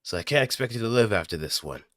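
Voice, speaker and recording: A man's voice: fairly low pitch, lively intonation, no echo, studio quality. A man voicing a politely menacing robot pilot from an anime game, giving warnings and attack calls. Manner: drawn-out warnings, then yelled attacks. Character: polite but menacing male spike-wielding mecha pilot.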